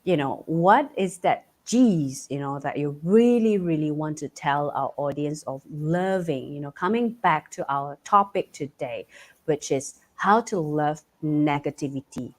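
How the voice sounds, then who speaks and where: A woman's voice: deep voice, unhurried. A woman from Malaysia, a native Cantonese speaker, speaking English with a Malaysian accent as the earnest, encouraging host of a live online talk show, heard over a video call.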